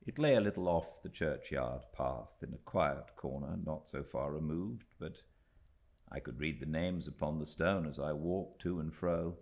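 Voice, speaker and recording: A British man narrates classic literature in an extremely low voice. There is a gravelly creak to it. His delivery is measured and expressive, with deliberate pauses that shape each phrase. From Britain. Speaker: audiobook narrator. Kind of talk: literary audiobook reading of a classic novel.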